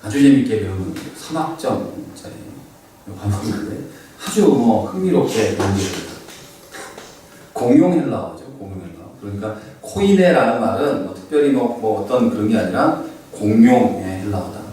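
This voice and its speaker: A man's voice: on the low side, animated, normal speed, mellow, very echoey, distant mic, a little hiss or hum, rough recording. A middle-aged man teaching a Bible class in a conversational lecturing manner with fillers and asides. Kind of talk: lecture on biblical background.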